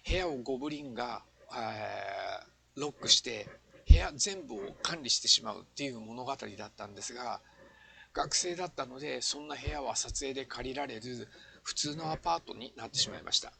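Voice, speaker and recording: Gravelly older man, bright voice. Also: medium pitch, lively intonation, moderate pace. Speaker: former amateur filmmaker, interviewee. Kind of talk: reflective retrospective interview talk.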